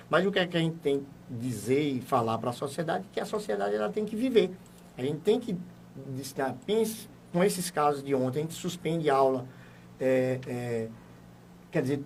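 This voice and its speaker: A man's voice: on the high side, darkish tone, distant mic, slight background noise. A man, a municipal education secretary, giving a formal, explanatory radio interview and building emphasis as he goes. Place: radio studio.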